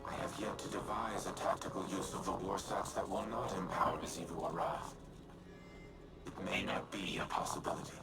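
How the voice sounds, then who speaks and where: An actor playing an ancient male war AI in a video game, with a measured, formal and grave delivery. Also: low voice, fairly even intonation. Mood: solemn. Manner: grave and precise, clipped statements. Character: ancient male war AI, solemn and guilt-ridden. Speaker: military AI guiding the player. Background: loud game music.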